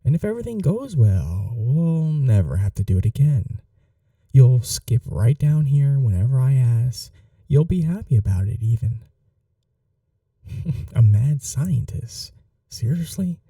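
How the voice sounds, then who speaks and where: Guy acting as a smug young mad scientist roomie, asmr roleplay, super dark mellow gravelly voice, coaxing and condescending. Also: medium pitch, sing-song, unhurried, no room sound, recorded from a distance. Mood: coaxing, eager, then offended. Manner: casual, coaxing, condescending, self-satisfied. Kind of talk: ASMR audio roleplay, intimate acted monologue.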